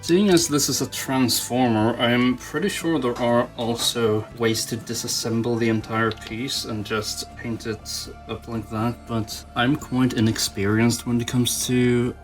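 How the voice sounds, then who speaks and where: A man's voice: medium pitch, fairly even intonation, a little slow, few pauses, faint music behind. A man giving informal, self-deprecating hobby commentary, thinking aloud as he works through a craft project. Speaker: toy customizer, channel host. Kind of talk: casual rambling hobby commentary while painting.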